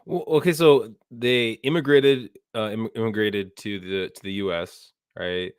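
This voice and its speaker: A man hosts a podcast and asks his guest long questions. His voice is low and smooth, with a dry close sound. He hesitates often, adding "I mean" and "um" as he goes. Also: measured pace, no room sound. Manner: hesitant, many "I mean" and "um" restarts. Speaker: podcast host. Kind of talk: interview questions.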